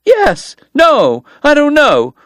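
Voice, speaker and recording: A man reading aloud and voicing a tearful, hot-tempered frontier woman in a shrill, pleading tone. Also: huge pitch swings, takes it slowly, fairly bright tone, no echo, clean studio sound, exaggerated voice. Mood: shaken.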